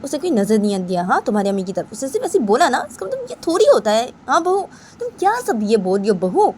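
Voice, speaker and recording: A woman's voice: fairly high pitch, very animated, quick pace, a little mellow, voice pushed up. A concerned older stepmother, put on by a woman storyteller, firing off repeated puzzled questions in a slightly raised voice. A light hiss runs in the background.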